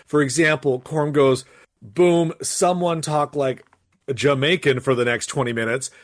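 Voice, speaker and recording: A man's voice: mid-range voice, unhurried, no room sound, clean studio sound. A man co-hosting a podcast, chatting and joking with his friends. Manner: animated, joking, steering the talk. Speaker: podcast co-host. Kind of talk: casual chat on a live stream.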